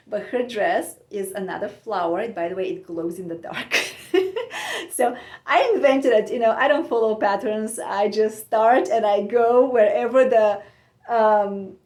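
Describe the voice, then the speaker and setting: A woman from Bulgaria, musing in a soft, meandering way with many pauses and fillers as she hosts her own podcast from home. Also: mid-range voice. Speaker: podcast host. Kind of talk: reflective personal monologue. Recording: echoey room.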